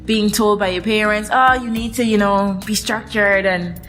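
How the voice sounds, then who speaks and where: A woman's voice: mid-range voice, fairly even intonation, normal speed, fairly bright tone, soft background music. Giggly woman in her twenties, rambling playfully. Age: 20s. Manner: laughs often, playful and rambling. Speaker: podcast co-host. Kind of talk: casual chat.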